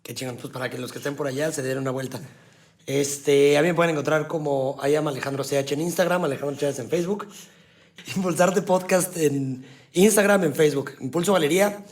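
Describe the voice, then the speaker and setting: Slangy, laid-back Mexican podcast host. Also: male voice, fairly high pitch, a little fast. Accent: Mexican Spanish. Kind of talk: casual interview hosting.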